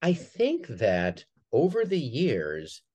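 A man's voice: medium pitch, huge pitch swings, slow pace, a little mellow, highs cut off. A man speaking as an interview guest, explaining his work casually and conversationally. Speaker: guest, theater artistic director.

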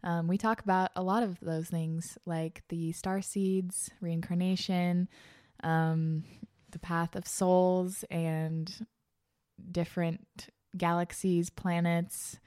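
A woman's voice: fairly low pitch, level tone, slow pace, darkish tone, smooth. A woman in her twenties hosting a podcast, talking in a bubbly, gushing way with lots of laughter and fillers. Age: 20s. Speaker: podcast host. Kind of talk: casual podcast chat.

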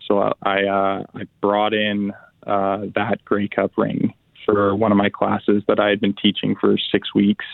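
A young man talks over a dry, tinny phone line. His voice is low and gravelly, and his pitch stays flat. He answers in a relaxed, halting way, often restarting his sentences. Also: no echo. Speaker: pro football player, guest. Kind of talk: phone interview.

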